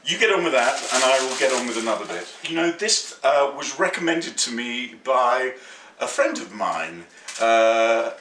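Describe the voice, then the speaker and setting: A middle-aged man chats his way through a home cooking demo, bright and lively in tone, playful and easily excited. His voice bounces around a roomy kitchen and reaches the mic from a little way off. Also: mid-range voice, moderate pace, distant mic, a little hiss or hum. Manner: excitable, playful and chatty, jokes and rambles. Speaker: cooking show host. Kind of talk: chatty home cooking demonstration.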